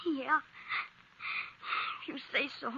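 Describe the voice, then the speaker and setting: High, distraught young farm boy. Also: steady tone, slow, vocal fry, pushed and bright, full character voice. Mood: subdued, ashamed. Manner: pleading, plain rural talk. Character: distraught farm boy with a gun, vengeful, hurting. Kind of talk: 1950s radio western acting. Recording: muffled old radio recording.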